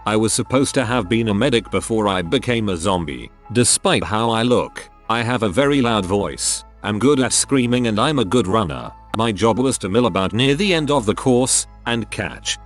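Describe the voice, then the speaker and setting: Guy reading reddit stories, lowish voice, steady even delivery, soft music behind. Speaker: narrator reading online stories. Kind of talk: scripted narration.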